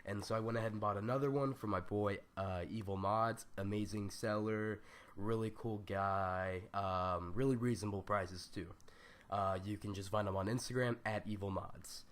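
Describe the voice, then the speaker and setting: A young man with a raspy, scratchy, stuffed-up voice, rambling loosely through a casual gaming tutorial with lots of ums and slang. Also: on the low side, fairly even intonation, moderate pace. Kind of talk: casual screen-recorded tutorial.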